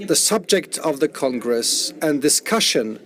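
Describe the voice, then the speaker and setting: A man's voice: on the high side, lively intonation, a little slow, crowd noise. A man doing simultaneous interpretation at a conference, often restarting and rephrasing as he renders the speaker's words.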